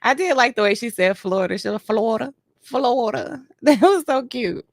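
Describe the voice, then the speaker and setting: A woman's voice: fairly high pitch, lively intonation, normal speed, creaky, no room sound. A woman from Detroit who grew up in Germany, chatting casually to her viewers with lots of "you know" and "right". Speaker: reaction vlogger. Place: home, doing a facial on camera.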